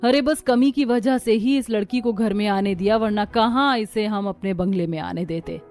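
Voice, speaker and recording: A woman's voice: mid-range voice, normal speed, darkish tone, close to an everyday voice. A woman playing a gossiping, judgmental neighbour in a moral story. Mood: disapproving. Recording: music under the voice.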